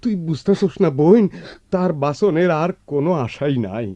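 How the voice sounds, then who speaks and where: Man acting an earnest, mellow-voiced village brother. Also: fairly high pitch, expressive, normal speed, no room sound, lightly acted. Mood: pleading. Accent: rural Bangladeshi dialect. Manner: earnest, emotional pleading. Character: protective, reproachful village older brother. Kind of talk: Bengali radio drama acting. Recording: dull, lo-fi radio drama recording.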